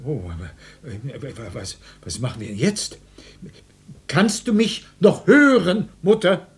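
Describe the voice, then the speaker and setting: A man's voice: lowish voice, animated, a little slow, husky, heavily acted. A man playing a helpless, henpecked family father in a German radio drama, hesitant, stammering and pedantic. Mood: helpless, concerned.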